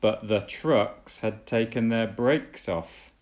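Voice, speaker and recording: A man reading a children's storybook aloud as a dad would, with deliberate, dramatic storytelling. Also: fairly low pitch.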